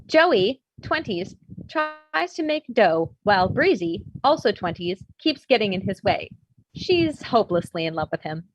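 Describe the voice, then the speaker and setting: A woman's voice: mid-range voice, big pitch swings, unhurried, no room sound, low-quality recording. A woman reading screenplay stage directions as scripted narration for a table read. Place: indoors, remote virtual table read.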